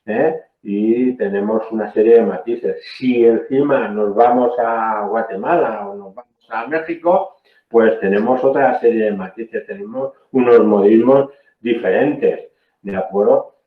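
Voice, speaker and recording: A man's voice: on the low side, a little slow, echoey room, narrow, tinny audio. A man from Spain giving a technical training lecture, explaining patiently and often checking in with "¿eh?". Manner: explanatory, frequent "¿eh?" checks, self-corrections.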